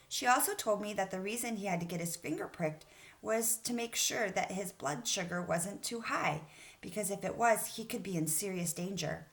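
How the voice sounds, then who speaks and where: A woman's voice: fairly low pitch, a bit bright. A woman teacher speaking to children in an encouraging, instructive way as she leads a read-aloud lesson. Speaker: elementary school teacher.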